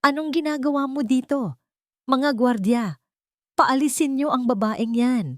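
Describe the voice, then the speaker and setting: Woman acting a shrill, haughty society villainess. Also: a little slow, no room sound, clean studio sound. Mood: panicked fury. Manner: shrill, commanding.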